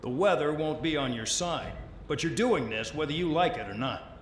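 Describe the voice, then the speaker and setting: An actor playing a contemptuous, condescending military commander who briefs convict pilots in a video game. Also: male voice, on the high side, animated, normal speed, lightly acted. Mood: stern, sardonic. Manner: condescending, sardonic, authoritarian. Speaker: mission briefing officer. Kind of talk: scripted game mission briefing. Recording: roomy echo, faint background noise.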